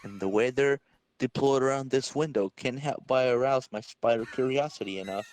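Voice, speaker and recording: A man's voice: medium pitch, moderate pace, a little mellow, a little hiss or hum, lightly acted. A man reading the part of a young, wisecracking male superhero thinking aloud in a dramatic comic-book reading. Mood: suspicious, pondering.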